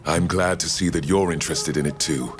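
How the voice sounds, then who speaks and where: A man playing a courteous, learned foreign scholar in a video game, speaking in measured, formal, precise phrases. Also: extremely low pitch, fairly even intonation, soft background music. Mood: scholarly interest. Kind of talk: video game dialogue acting.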